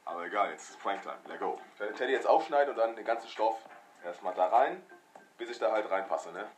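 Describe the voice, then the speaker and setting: A young man with a slight cold-roughened hoarseness, talking casually and energetically to viewers about a prank. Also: lowish voice, fast talker, a little hiss or hum. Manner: energetic, slangy, addresses viewers directly. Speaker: prank video creator. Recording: music playing behind voice.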